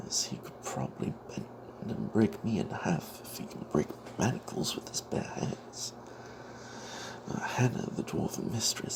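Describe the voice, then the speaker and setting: A man narrating a first-person fantasy story as ASMR in a breathy whisper, hushed and halting, with wry asides. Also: medium pitch, poor audio. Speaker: storyteller narrating own tabletop character. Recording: noticeable background noise.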